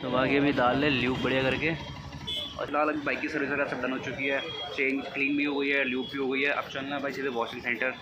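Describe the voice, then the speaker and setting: A man's voice: mid-range voice, little pitch movement, quick pace, muffled recording, noisy background. A young man vlogging casually on a phone at a bike service shop, chatty and constantly addressing viewers as brother. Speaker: motovlogger. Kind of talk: casual vlog narration to camera. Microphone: phone camera.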